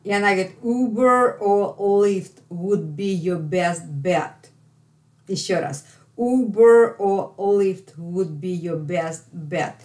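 Slow-paced middle-aged Russian woman teaching. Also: mid-range voice, darkish tone. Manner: slow, emphatic repetition for learners. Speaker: English teacher. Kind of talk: lesson explaining a phone call line by line.

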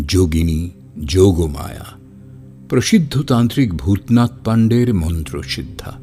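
A man's voice: deep voice, mellow. An actor playing a man who narrates a horror story in the first person, as one recalling his friends. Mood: storytelling. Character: male friend recounting a fateful ghost story. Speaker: narrator and character in audio drama. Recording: long reverb, music behind.

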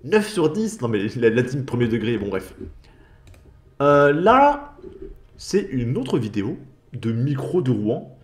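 A man's voice: medium pitch, sing-song, slow. A man hosting a commentary channel, talking over video clips in a sarcastic, mocking voice-over.

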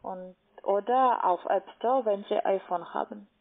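Halting non-native woman on muffled phone line. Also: mid-range voice. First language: not German. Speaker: call centre agent. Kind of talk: phone sales call, step-by-step instructions. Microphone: telephone.

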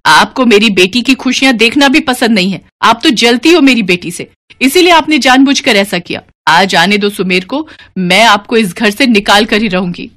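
A woman's voice: a little high, expressive, moderate pace, few pauses, a bit bright, pitched above the performer's own voice. A woman voicing a spiteful, hot-tempered daughter-in-law in a cartoon story, scolding and domineering. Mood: angry scolding.

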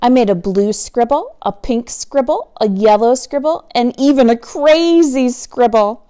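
A woman teacher reading a children's story aloud in a dramatic, expressive manner, drawing out key words for emphasis. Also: on the high side, measured pace, clean tone. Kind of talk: animated picture-book read-aloud.

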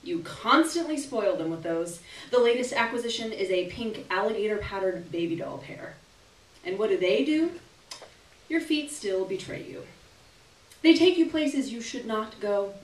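A young woman gives an expressive, theatrical reading of a literary short story at a live event, with deliberate phrasing and dramatic pauses. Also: medium pitch. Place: small indoor gallery reading event. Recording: roomy live venue, distant camera microphone.

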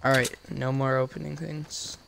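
A man commentating casually as he streams a game, hoarse and losing his voice from being sick. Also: mid-range voice, flat delivery, moderate pace, background noise. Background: game music.

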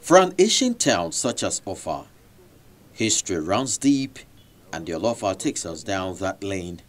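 Lively low-voiced male news narrator. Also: a little hiss or hum. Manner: measured voice-over delivery. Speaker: reporter. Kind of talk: scripted narration.

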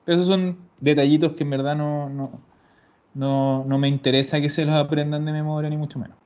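A man teaching Italian in an online class, patient and explaining slowly, repeating words. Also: a little high, level tone, darkish tone. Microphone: video call. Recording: dull lo-fi call audio.

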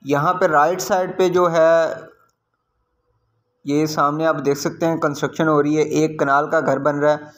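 Higher-pitched Pakistani man giving property commentary. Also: little pitch movement, unhurried. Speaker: real estate agent, video host. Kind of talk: property site-visit commentary.

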